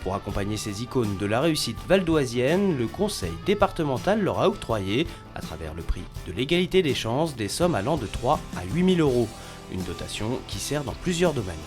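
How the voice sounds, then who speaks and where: A man narrating a regional news report in a measured, formal delivery. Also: mid-range voice, sing-song, over music.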